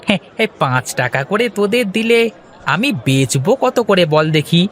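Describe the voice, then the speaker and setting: Cartoon fish trader, shrewd and bossy, high lively voice, as a man acts him. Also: normal speed, a faint crowd. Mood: haggling. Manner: haggling, bossy. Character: shrewd middle-aged male fish trader. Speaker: fish merchant. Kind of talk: Bengali cartoon voice acting.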